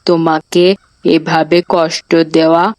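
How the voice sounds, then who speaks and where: A woman plays a young cartoon boy talking with friends. The voice is on the low side and very unhurried. The sound is dry. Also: little pitch movement, darkish tone, dry recording. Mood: apologetic. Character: chastened boy, apologetic friend in children's cartoon. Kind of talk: Islamic children's cartoon dialogue.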